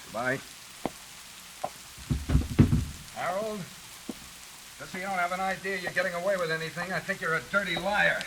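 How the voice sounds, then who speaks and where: A man's voice: high voice, quick pace. A man playing a world-weary, bitter crook who talks in terse slang in a 1940s radio drama. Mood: bitter, curt. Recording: very noisy crackling 1940s radio recording.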